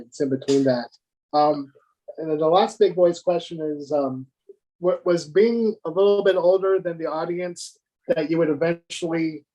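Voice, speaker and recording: A man in his fifties interviewing a guest, asking questions in a halting way with many restarts. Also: a little high, mellow, low-quality recording. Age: 50s. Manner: halting, restarts and hesitant phrasing. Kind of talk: casual conversational interview.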